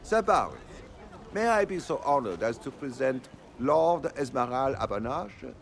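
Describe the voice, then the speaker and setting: An actor playing a formal herald in a video game, making declamatory, ceremonious announcements. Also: male voice, medium pitch, very animated, normal speed, creaky, a little hiss or hum. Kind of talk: video game dialogue acting.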